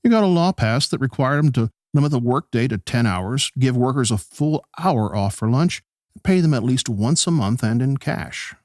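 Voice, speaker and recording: An actor playing a polite radio host who gently probes his political guests in a conversational interview style. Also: mid-range voice, animated, moderate pace, dry recording, clean studio sound, lightly acted. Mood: polite, curious. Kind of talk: pseudo-radio play interview.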